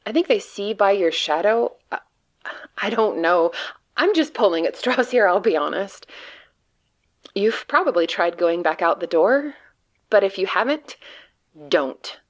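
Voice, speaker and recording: A woman's voice: medium pitch, expressive, measured pace, pauses often, natural voice. A woman playing a jaded, doomed field agent in a horror audio drama, blunt, bitter and sardonic. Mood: uneasy, weary.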